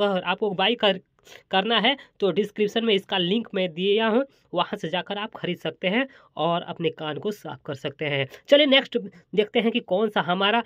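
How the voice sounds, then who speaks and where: A man's voice: high voice, a bit bright, no echo. Exclamatory man showing products, dull lo-fi sound. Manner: exclamatory, chatty, repeats phrases while demonstrating. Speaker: toy and gadget review host. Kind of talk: casual hands-on product showcase.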